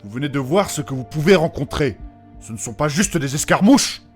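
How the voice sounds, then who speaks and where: Casting: man as courteous, anxious fantasy healer, formal diction, very lively pitch, comic audio drama. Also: fairly quick, faint music behind, close to an everyday voice. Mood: earnest, concerned. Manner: formal, addresses others politely as monsieur. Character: anxious, courteous, formal male healer guiding his companions.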